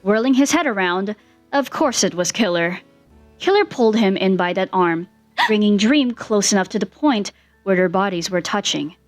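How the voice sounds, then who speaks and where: A woman's voice: mid-range voice, animated, normal speed, soft background music. A woman reading fan fiction aloud as the narrator, in a scripted storytelling style.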